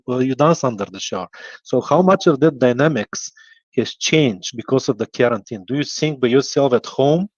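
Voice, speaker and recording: A man's voice: mid-range voice, no echo. A man from Bulgaria, asking a long thoughtful question on a video call and searching for words. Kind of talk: casual video call talk. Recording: dry, dull lo-fi video call audio.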